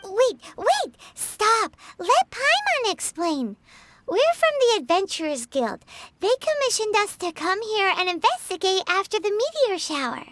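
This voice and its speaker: A woman's voice: very high-pitched, sing-song, a little slow, a bit bright, slight background noise, heavily acted. A woman voicing a tiny, chatty female fairy companion who speaks of herself in the third person. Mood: excitable. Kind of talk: video game voice acting.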